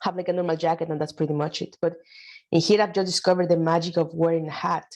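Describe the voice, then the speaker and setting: A woman's voice: fairly low pitch, fairly even intonation, fast, a little mellow. Chatty woman from Mexico City. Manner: chatty, many fillers and laughs. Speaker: workshop participant. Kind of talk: casual chat.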